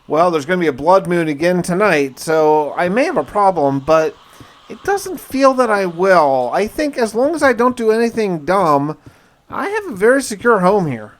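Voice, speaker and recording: A man giving a casual first-person gameplay narration in a conversational, informal, musing way. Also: on the high side. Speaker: gaming video narrator.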